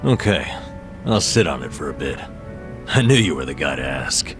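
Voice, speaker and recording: An actor playing a worried, guilt-ridden, gruff down-and-out man in a video game dub, grumbling dryly to a friend. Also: a bass voice, very animated, moderate pace, over music. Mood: uneasy.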